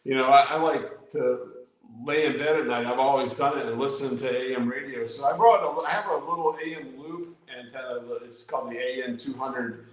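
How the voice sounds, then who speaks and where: A man's voice: mid-range voice, fairly quick. A man, speaking as a guest on a live radio-hobby chat. He tells his stories eagerly in a mid-range voice, with plenty of "you know"s. His voice rings out in a very echoey room. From Virginia, USA. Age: 60s. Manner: enthusiastic storyteller, many "you know"s. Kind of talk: casual live-stream chat.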